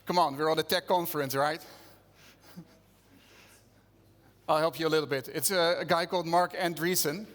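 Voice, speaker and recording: A man's voice: fairly high pitch, fairly even intonation, measured pace, pauses often, fairly bright tone. Dutch-accented man presenting on stage. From Netherlands. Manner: conversational, engages audience with questions. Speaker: conference speaker. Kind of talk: conference keynote presentation. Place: conference venue stage. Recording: long reverb of a conference hall.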